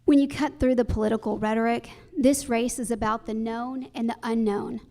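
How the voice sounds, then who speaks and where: A woman's voice: fairly high pitch, steady tone, normal speed, gravelly, warm tone. A woman judge running for election, answering at a forum emphatically and repeating her key phrases. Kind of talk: candidate forum answers, campaign speech.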